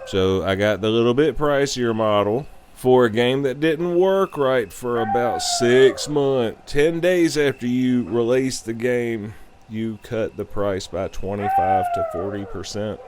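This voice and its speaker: A man's voice: mid-range voice, sing-song, a little slow, background noise. A man in his thirties hosting a show, giving a blunt, profane, casual rant. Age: 30s. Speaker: podcast host. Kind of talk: casual opinionated gaming rant.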